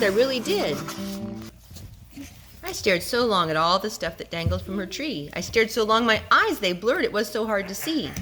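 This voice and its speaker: A woman hosts a children's storytime over clearly audible music. She reads rhyming picture-book lines in an expressive, gently dramatic way, at an average pitch for a woman. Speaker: storytime host and parent reading to children.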